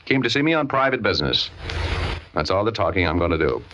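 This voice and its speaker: An actor playing a wisecracking, money-minded private detective in a slangy, flippant 1940s radio drama style. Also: male voice, sits low, very animated, fast talker, bright, forceful tone, heavily acted. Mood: guarded. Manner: slangy, flippant, hard-boiled. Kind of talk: 1940s old-time radio acting with hard-boiled narration. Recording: muffled old radio broadcast with music.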